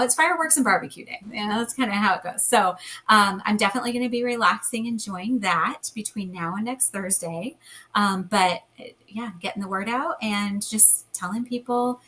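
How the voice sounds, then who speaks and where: A woman with a calm, soothing voice, talking as a hypnotherapist guest. Her pitch sits in an ordinary middle range. She speaks gently and warmly in a guided, reassuring way. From United States. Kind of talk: guided hypnosis then casual interview chat.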